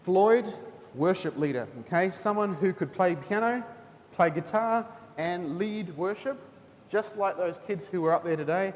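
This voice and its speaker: A man's voice: unhurried, muffled recording. A man, a teacher speaking at a school chapel in a chatty manner, often asking "okay?". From New Zealand. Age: 30s. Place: school hall. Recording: hall reverb, faint rumble.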